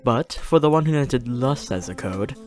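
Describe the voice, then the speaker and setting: A man's voice: mid-range voice, expressive, moderate pace, a little mellow, faint music behind, close to an everyday voice. A man playing a flirty, teasing demon who reports and hosts in a fiction podcast. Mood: sultry, playful. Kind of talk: fiction podcast banter and monologue.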